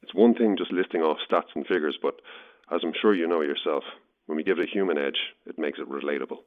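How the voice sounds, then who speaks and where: Through a thin, narrow phone line comes an Irish male journalist with a very deep voice. He is businesslike and probing, awkwardly blunt, in the natural style of audio drama. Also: fast talker. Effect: telephone filter.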